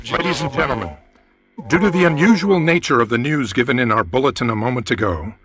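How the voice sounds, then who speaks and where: A man's voice: mid-range voice, animated, fast talker, a bit bright, soft background music, close to an everyday voice. A man playing a formal, urgent radio news announcer, delivering emphatic bulletins in old-time radio drama style.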